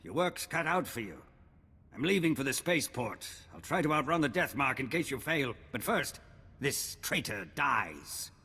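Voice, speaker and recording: An actor playing a proud, indignant duke in a video game, with a blustering aristocratic manner. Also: male voice, expressive, normal speed, bright, forceful tone, husky, heavily acted. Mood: gruff resolve. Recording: reverberant hall with rumbling noise.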